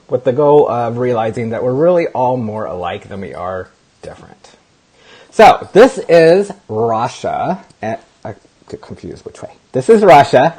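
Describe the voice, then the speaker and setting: A man hosts a casual interview, his husky voice swinging up and down with upbeat energy. He sounds friendly and animated as he asks light questions. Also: medium pitch, low-quality recording. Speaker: host.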